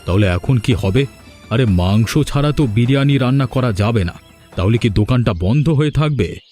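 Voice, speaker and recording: A man's voice: medium pitch, lively intonation, moderate pace, dark tone, soft background music, close to an everyday voice. A man voicing a grumpy, worried village shopkeeper husband in a cartoon, bossy and resigned. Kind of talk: cartoon dialogue acting.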